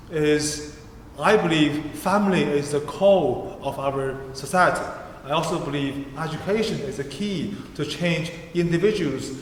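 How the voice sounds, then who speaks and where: A man with a Chinese accent gives an earnest candidate's speech, his phrasing halting and non-native. His voice sits slightly high for a man. The sound rings with the long echo of a big church hall. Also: a little hiss or hum. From China. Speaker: parliamentary candidate. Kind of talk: hustings speech. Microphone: far room mic, standing speaker.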